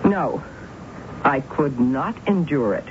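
An actress playing a cold, reclusive spinster who narrates, in a 1970s radio drama. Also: female voice, low-pitched, expressive, slow. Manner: fussy, guarded, clipped. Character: prim reclusive spinster woman, fussy and cold. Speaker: narrator and lead character. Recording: muffled old radio broadcast with hiss.

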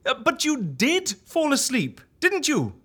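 An actor playing an excitable, sarcastic head of an agency in a comedy audio drama, talking theatrically and repeating words for effect. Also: male voice, very high voice, unhurried, heavily acted. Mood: exasperated, sarcastic.

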